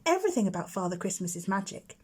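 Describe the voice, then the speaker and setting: A woman's voice: medium pitch, sing-song, quick pace, a little mellow, slightly rough. A woman voicing a confident little schoolgirl in a storybook, chatty and proud, with a matter-of-fact know-it-all manner. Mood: explaining.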